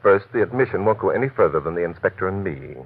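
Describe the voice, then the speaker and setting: A shrewd, formal detective in a vintage radio mystery. He is low-voiced and brisk, authoritative, on a muffled old recording. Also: lively intonation, husky, full character voice. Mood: shrewd pressure. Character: shrewd, commanding amateur detective questioning suspects. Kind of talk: 1940s radio drama acting.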